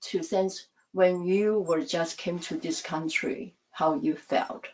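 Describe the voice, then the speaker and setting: Soft-spoken Taiwanese-born woman interpreter. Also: on the low side, fairly even intonation. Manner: soft-spoken, halting non-native English. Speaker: hospital staff interpreter. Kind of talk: panel talk on a video call. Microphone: video call. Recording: dull lo-fi video call audio.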